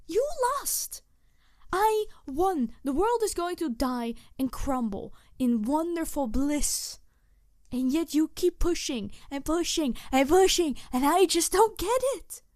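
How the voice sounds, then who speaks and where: A woman playing a snarky, bitter supervillain in an ASMR audio roleplay, taunting her captive in a theatrical, deliberate way with breathy whispered touches. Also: extremely high pitch, lively intonation, darkish tone, dry recording, studio quality, heavily acted. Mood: exasperated, agitated.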